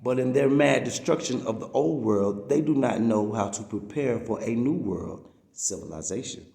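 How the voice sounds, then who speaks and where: A man's voice: medium pitch, moderate pace, creaky, dark tone, echoey room. A man reading a written message aloud in an emphatic, preaching way, drawing out the words he stresses. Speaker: reader reading a text aloud. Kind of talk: read-aloud of a religious-political message, preaching delivery.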